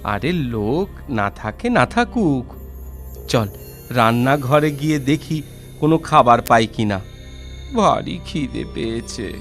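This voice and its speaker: A man's voice: mid-range voice, very animated, slow pace, fairly bright tone, faint music behind. A man playing a poor, grateful but bold wanderer in a children's cartoon fairy tale, speaking earnestly and expressively.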